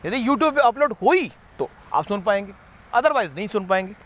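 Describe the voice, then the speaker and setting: A man's voice: high voice, very animated, moderate pace, a bit bright. A man giving an unscripted spiritual talk, rambling and repeating himself, slipping English words into his sentences. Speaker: spiritual speaker.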